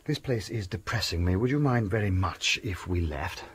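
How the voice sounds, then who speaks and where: An actor playing a well-bred, easily shaken gentleman in a radio drama. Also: low-pitched, expressive, moderate pace, slightly rough. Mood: brighter, relieved.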